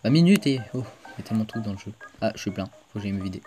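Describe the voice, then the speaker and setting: A man's voice: fairly low pitch, lively intonation, rapid-fire, music in the background. A man hosting a casual let's play video, chatting to viewers as he plays, with a stuffed-up cold. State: congested with a cold. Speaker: gaming video host.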